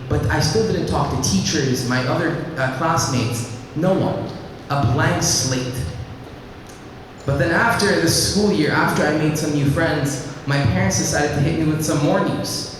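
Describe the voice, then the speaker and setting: Teenage boy, earnest speech, measured pauses, echoey hall, faint background noise. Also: a little high. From Iraq, raised in Lebanon. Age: teens. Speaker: student speaker. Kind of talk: personal speech to an audience. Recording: echoey hall, mic at a distance.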